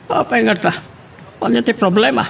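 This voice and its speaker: A man's voice: high voice, moderate pace, creaky, a bit bright, faint music behind, heavily acted. An actor playing a tipsy, wealthy older father and boss in a radio drama. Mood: gruff, puzzled. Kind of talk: Ilocano radio drama acting.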